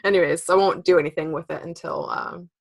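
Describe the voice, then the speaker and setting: A woman in her thirties co-hosting a casual knitting podcast, chatty and quick to laugh. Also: lowish voice, steady tone. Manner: chatty, laughs often, lots of fillers. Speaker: podcast co-host.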